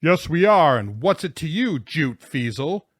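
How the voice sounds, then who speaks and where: A man's voice: on the high side, very animated, measured pace, no echo, clean studio sound, pitched above the performer's own voice. A man voicing a blustery, grumbling, worn-out grown man in an audiobook story. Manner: gasping, grumbling, roaring.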